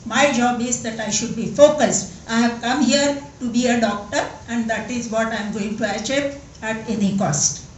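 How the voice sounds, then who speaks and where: An older woman in her seventies with a Marathi-accented English voice that sits a little high. She speaks earnestly and emphatically, repeating words for stress. Her voice rings through a large echoey hall from some distance off the mic. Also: moderate pace, a little hiss or hum. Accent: Indian English, Marathi. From Western Maharashtra, India. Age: 70s. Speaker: guest speaker, senior doctor. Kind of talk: conference speech.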